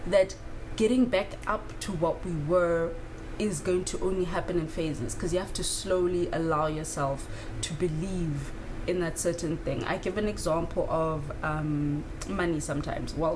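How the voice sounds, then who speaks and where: A woman around thirty from South Africa, talking through spiritual ideas in a candid, casual monologue with frequent fillers and the odd swear word. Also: fairly low pitch. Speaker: spiritual vlogger. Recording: clear background noise.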